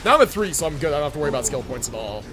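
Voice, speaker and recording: A man with a fairly high voice commentates his own gameplay live. His pitch swings a lot as he thinks aloud in casual slang with some swearing. Music plays faintly behind him. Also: sing-song, rapid-fire. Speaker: game livestreamer. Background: game music.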